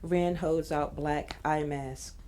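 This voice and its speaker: A woman's voice: low voice, steady tone, takes it slowly, dark tone, a little hiss or hum. A woman reading scene directions as a scripted narration.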